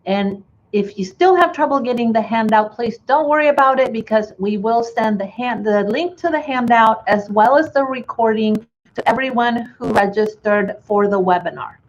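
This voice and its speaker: A woman's voice: fairly high pitch, moderate pace, lo-fi sound, a little hiss or hum. A woman from Chile with a slight Chilean Spanish accent, presenting a bookkeeping webinar in a friendly way with many fillers. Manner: friendly, filler-heavy, restarts often.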